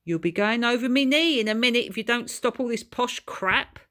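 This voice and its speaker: A woman's voice: a little high, animated, moderate pace, a bit bright, pitched above the performer's own voice. A woman voicing a hot-tempered working-class father with a blunt, growling, colloquial manner. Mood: angry.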